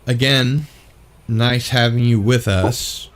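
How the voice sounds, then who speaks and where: A tired man hosting a casual online panel chat, talking in a halting way with many fillers. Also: mid-range voice, steady tone, a crowd in the background. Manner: halting, full of fillers and restarts. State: tired from little sleep. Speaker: host.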